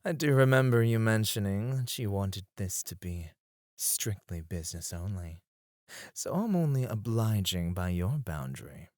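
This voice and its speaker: A man acting a flirtatious, self-assured male stripper in an ASMR roleplay, suave and teasing, with breathy asides, close on the microphone. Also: fairly low pitch, expressive, normal speed, darkish tone, no room sound, natural voice. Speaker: ASMR voice actor.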